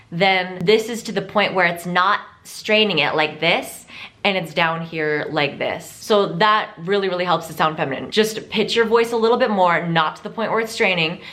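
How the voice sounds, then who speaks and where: A woman's voice: fairly low pitch, moderate pace, fairly bright tone. Chatty, profane young woman vlogger. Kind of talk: casual chat.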